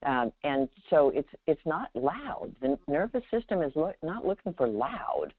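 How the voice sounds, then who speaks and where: A woman's voice: sits low, very animated, unhurried, breathy edge, dry recording. A woman teaching conversationally on a spiritual call, explaining with emphatic illustrations. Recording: tinny, dry phone line.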